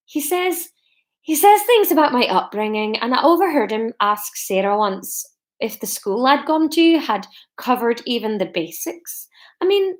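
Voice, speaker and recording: A woman voicing an awkward, hesitant young fiancé in a story, with an attempted Scottish accent and a halting, defensive manner. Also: on the high side. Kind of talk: audiobook-style character dialogue.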